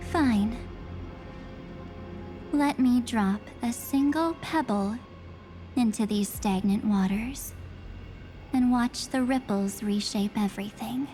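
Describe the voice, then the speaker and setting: Mysterious female double, hushed measured delivery, slow pace, game cutscene, music behind. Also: on the high side. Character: calm mysterious female double living in memories. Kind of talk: video game cutscene voice acting.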